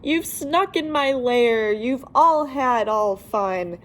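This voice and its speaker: A woman's voice: fairly high pitch, expressive, slow, fairly bright tone. A woman voicing a grumpy bear from a children's storybook, hurt at being left out, aggrieved and emphatic. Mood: aggrieved, sulky.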